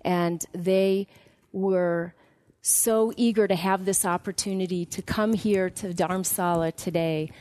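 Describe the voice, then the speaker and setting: A woman giving a formal spoken introduction as host, speaking deliberately in short phrases. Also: lowish voice, steady tone, darkish tone, no room sound. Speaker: host introducing youth delegates.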